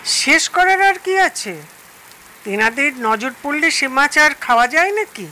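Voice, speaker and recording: Woman playing slow, high-voiced expressive mother-in-law. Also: slight background noise, full character voice, poor audio. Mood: matter-of-fact. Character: expressive older mother-in-law chatting over tea. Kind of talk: Bengali audio drama acting.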